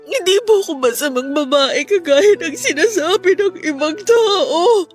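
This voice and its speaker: A woman's voice: very high-pitched, expressive, unhurried. An actress with a Filipino accent playing a distraught, remorseful young woman in a radio drama, pleading over music. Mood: tearful pleading. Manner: pleading, tearful delivery.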